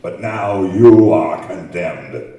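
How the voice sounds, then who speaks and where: A man's voice: deep voice, lively intonation, warm tone, lo-fi sound. A man playing a vengeful, taunting man-made monster in old-time radio horror style, with menacing, grandiose delivery. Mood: bitter, triumphant. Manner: slow, menacing, grandiose declaiming. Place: community center stage, live performance. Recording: roomy live hall, distant mic, faint noise.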